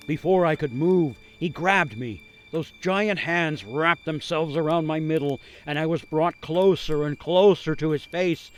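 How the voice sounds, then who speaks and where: An actor playing a haunted gingerbread cookie who tells a grim cautionary tale in a suspenseful, theatrical radio monologue with deliberate pauses. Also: male voice, a little high, lightly acted. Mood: rising terror. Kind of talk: dramatic monologue for radio storytelling.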